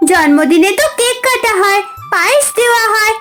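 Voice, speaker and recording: A woman's voice: extremely high pitch, lively intonation, normal speed, music in the background. A woman voicing an eager, innocent young schoolgirl in a children's cartoon, polite and simply childlike. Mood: curious. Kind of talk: Bengali children's cartoon story.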